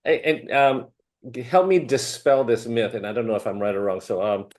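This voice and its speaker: A man's voice: mid-range voice, moderate pace, a little mellow. A man hosting an interview, asking long, rambling questions with many fillers. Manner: rambling, loosely built questions. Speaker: host. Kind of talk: casual interview talk.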